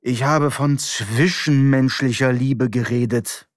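A narrator voicing an exhausted, gloomy woman who is half asleep and talks in a flat deadpan. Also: medium pitch, slow pace, dry recording, clean studio sound, close to an everyday voice. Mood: sleepy. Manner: drowsy, flat, dark deadpan. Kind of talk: audiobook character voice.

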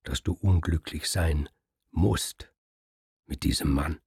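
A man voicing Brünnhild, a cool, scheming queen who speaks in a low, hushed, insinuating murmur. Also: slow, breathy edge, no room sound, studio quality, heavily acted.

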